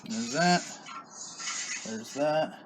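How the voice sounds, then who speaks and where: A man's voice: medium pitch, drawn-out words, background noise. A man hosting a hobby video, giving casual commentary as he checks radio equipment results.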